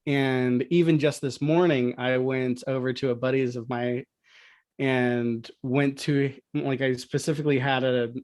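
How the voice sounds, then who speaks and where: A man talking casually as a podcast guest, explaining his craft in a halting, conversational way. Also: mid-range voice, level tone, darkish tone, no echo, clean studio sound. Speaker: podcast guest, audio drama producer.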